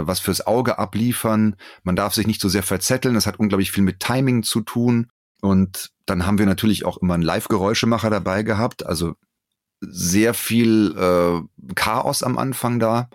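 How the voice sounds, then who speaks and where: A man's voice: fairly low pitch, no echo, clean studio sound. A man being interviewed as a performer, talking casually and thoughtfully as he explains his work. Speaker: interviewed voice actor and live performer. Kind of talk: casual interview talk, anecdotes.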